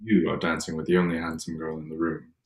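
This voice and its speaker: An actor playing a haughty, surly young gentleman with a stiff, disdainful manner in a period staged reading. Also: extremely low pitch, completely flat delivery, fast. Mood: dismissive. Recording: echoey, reverberant room.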